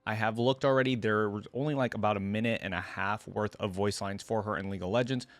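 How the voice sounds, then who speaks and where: A man's voice: lowish voice, steady tone, fast talker, dry recording. A man doing casual reaction commentary, thinking aloud in laid-back, slangy language. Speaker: reaction video creator and commentator.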